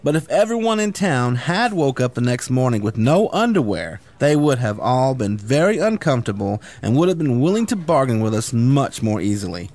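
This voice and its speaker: A man's voice: mid-range voice, sing-song, moderate pace, few pauses, a little mellow. A man playing a cocky, grandiose schemer who leads a band of misfits in a comedic sci-fi radio drama, boastful and persuasive. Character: cocky, grandiose schemer, self-appointed leader of misfit would-be conquerors.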